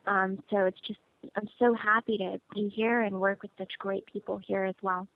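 An actress being interviewed over the phone, chatty and bubbly, laughing often. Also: female voice, medium pitch, level tone, normal speed, no room sound. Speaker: actress guest. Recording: tinny phone line.

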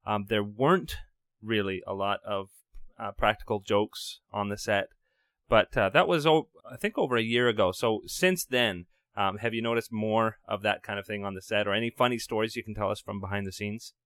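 A man's voice: lowish voice, moderate pace, a bit bright, clear, resonant tone, dry recording, clean studio sound. A man hosting a fan interview, asking questions with many hesitations and restarts. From Canada. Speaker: podcast interviewer.

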